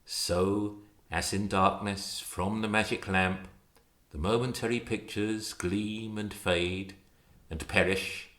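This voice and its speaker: Slow, deep-voiced man reciting poetry. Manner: measured, expressive, declamatory. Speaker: poetry reader. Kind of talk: introduced dramatic poetry recitation.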